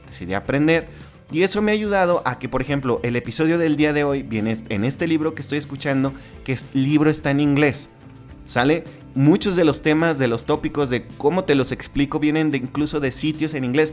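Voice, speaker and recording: A man with a Mexican accent hosting a solo podcast in a casual, conversational way, with frequent restarts and tag questions. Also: mid-range voice. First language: Spanish. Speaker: podcast host.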